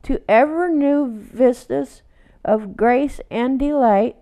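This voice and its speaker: A woman reading a children's picture book aloud, adding casual asides in a gentle, unhurried read-aloud manner. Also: high-pitched, lo-fi sound. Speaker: storytime reader.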